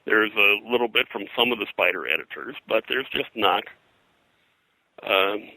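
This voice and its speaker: An older man reminiscing as an interview guest, rambling and halting, often repeating words as he goes. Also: on the low side, sharp tone, no room sound, poor audio. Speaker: interview guest, pop culture historian and pulp publisher.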